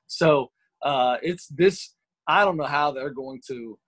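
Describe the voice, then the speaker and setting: A man's voice: on the high side, slow pace, a bit bright, faint music behind. A man co-hosting a casual sports podcast, upbeat and rambling, calling himself a positive thinker. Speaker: podcast co-host.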